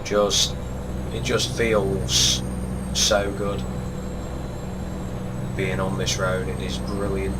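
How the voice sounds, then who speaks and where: A man with a congested voice and a sore throat, giving easygoing, laid-back commentary while he plays a game. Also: low voice. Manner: unhurried, easygoing, appreciative. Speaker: gaming channel host. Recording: steady truck and air-conditioning hum behind. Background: game engine and cab noise.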